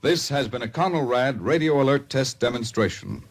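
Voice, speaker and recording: A man's voice: medium pitch, normal speed. A man formally reading an announcement in the style of a 1950s radio program.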